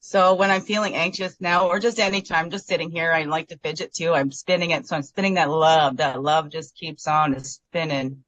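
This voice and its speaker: A woman's voice: deep voice, steady tone, normal speed, hardly any pauses, fairly bright tone, low-quality recording. A woman sharing personal feelings over a video call in a heartfelt, rambling way, close to tears. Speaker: guest member.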